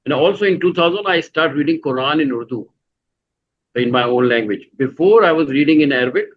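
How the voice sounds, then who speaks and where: A middle-aged man with a Pakistani accent, answering interview questions in a halting way, full of "you know" fillers and restarts. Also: on the high side, lo-fi sound. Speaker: guest.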